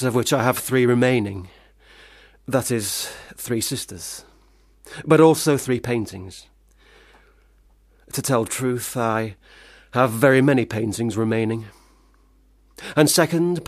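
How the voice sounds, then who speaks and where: An actor in a radio play, a man, playing a failed, self-pitying young gentleman writer with formal, wordy diction. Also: mid-range voice, a little slow, no echo, clean studio sound, lightly acted. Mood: formal, apologetic. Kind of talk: radio play monologue and dialogue.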